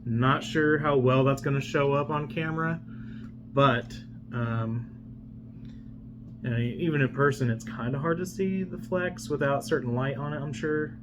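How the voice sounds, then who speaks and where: A man with a medium-pitched voice talks through an unboxing in an unscripted, conversational style. He rambles along casually like a vlogger showing items to viewers. A steady hum runs in the background of the recording. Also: unhurried.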